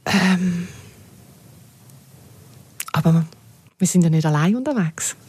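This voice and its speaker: A woman's voice: fairly low pitch, animated, takes it slowly, a little mellow, husky, no room sound. A middle-aged or older woman speaking as an interview guest in a conversational, reflective way. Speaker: interview guest looking back on media work. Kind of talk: conversational reminiscence.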